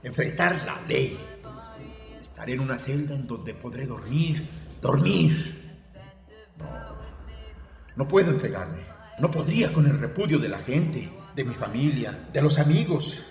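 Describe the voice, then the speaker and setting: A man's voice: medium pitch, expressive, a little slow, creaky, long pauses, darkish tone. An actor playing a guilty, nervous younger Mexican man on the run in a radio drama, agitated and hesitant. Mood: anguished, panicked. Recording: echoey reverb with faint music.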